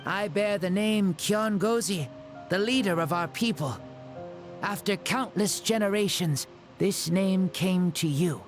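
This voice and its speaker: A man voicing an older, proud and genial warrior spirit in a game cutscene, speaking in a measured, grand way. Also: sits high. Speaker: game character.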